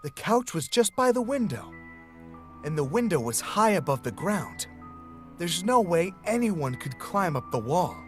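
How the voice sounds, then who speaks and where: A man's voice: high-pitched, sing-song, a little slow. Man narrating a creepy story over music. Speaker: narrator of a played story video. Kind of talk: scripted narration.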